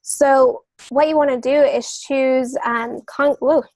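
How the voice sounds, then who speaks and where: A woman's voice: high voice, steady tone, takes it slowly, darkish tone, dry recording, rough recording. A woman speaking as a guest novelist on a podcast, explaining her methods somewhat hesitantly and often rephrasing herself.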